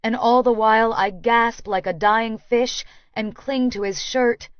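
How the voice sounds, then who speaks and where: Slow, measured female audiobook narrator. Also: fairly high pitch, steady tone, dry recording, lo-fi sound. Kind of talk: first-person romance audiobook narration.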